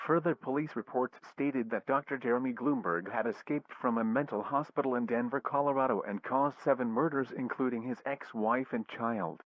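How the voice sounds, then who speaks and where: Male civil alert announcer, medium pitch, formal impersonal synthetic read, dry and slightly muffled radio sound. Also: brisk, hardly any pauses, dry recording. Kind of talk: scripted civil emergency announcement. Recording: dull, lo-fi radio alert audio.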